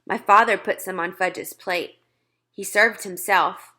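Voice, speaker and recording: A woman's voice: medium pitch, steady tone, unhurried, bright, forceful tone. A woman storyteller reading a children's book aloud as scripted narration.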